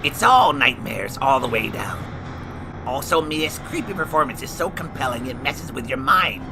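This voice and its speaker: A sarcastic horror host with a shriveled, burnt face, as a man plays him, trading theatrical jokes in a scripted horror review. His voice is rough and gravelly and swings widely up and down. Music plays clearly beneath him. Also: medium pitch, big pitch swings, normal speed. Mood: snarky. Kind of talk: scripted comedic review banter. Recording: music bed underneath.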